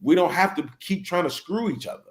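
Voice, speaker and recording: A man with a somewhat high speaking voice hosts a laid-back panel conversation. He talks in long loose runs, stopping often to ask "right?" before moving on. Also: animated, brisk. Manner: rambling, keeps checking in with "right?". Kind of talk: casual panel discussion.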